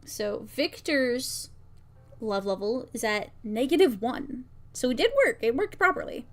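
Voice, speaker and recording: A woman with a fairly high voice talks through her work on a casual livestream. She takes her time, speaking very slowly and often muttering to herself as she reads things out and checks them. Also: expressive, faint music behind. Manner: muttering to herself, reading out lines as she works. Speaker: VTuber streamer and game maker. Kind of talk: casual livestream, thinking aloud while testing a visual novel.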